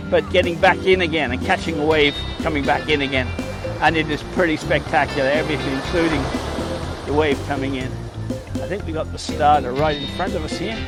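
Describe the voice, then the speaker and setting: A man from Wales with a Welsh accent, chatting excitedly to his livestream viewers while commenting on a race at a surf beach. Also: high-pitched. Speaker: livestream host. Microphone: phone mic held in hand.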